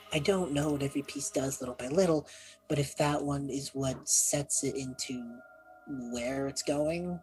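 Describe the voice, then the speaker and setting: Halting man playing a tabletop game. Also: mid-range voice, poor audio. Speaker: player in a tabletop roleplaying game. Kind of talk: casual in-character improv.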